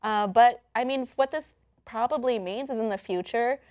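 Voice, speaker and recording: A woman's voice: a little high, moderate pace, darkish tone, dry recording, clean studio sound. A woman hosting an online entertainment news show, explaining things in a casual, conversational way. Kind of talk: casual news chat with a co-commentator.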